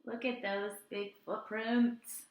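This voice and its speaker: A woman teacher reading a story aloud and chatting to young children in an expressive way. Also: mid-range voice, slow. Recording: roomy indoor echo.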